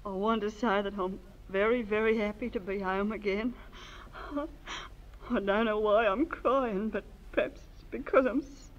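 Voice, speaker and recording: An actress playing a gentle, earnest young country woman in an old radio serial. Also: mid-range voice, natural voice. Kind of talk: 1930s radio serial acting. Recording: dull old radio recording.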